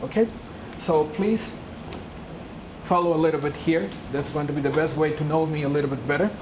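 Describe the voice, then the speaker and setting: A woman's voice: deep voice, steady tone, brisk. Woman, lecturer, earnest, second-language English, humming noisy room. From Peru. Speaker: guest lecturer. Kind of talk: public lecture with slides.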